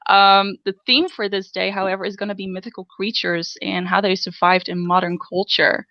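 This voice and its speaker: A woman's voice: medium pitch, flat delivery, moderate pace, dry recording, dull audio. A young Dutch woman with a Dutch accent, hosting a casual group podcast in English, chatty and self-deprecating, laughing often. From Netherlands. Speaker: podcast host.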